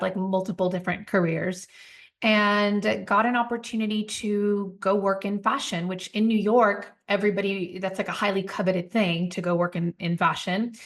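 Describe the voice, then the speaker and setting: A woman's voice: mid-range voice, normal speed. Reflective woman in her forties. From Puerto Rico. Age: 40s. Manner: reflective, storytelling, animated asides. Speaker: guest, company founder. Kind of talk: podcast interview.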